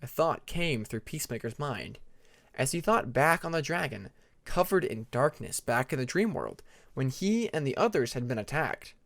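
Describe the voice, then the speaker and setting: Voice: mid-range voice, lively intonation, moderate pace, a bit bright, no echo, clean studio sound. A narrator reading a fantasy story aloud as a scripted audiobook.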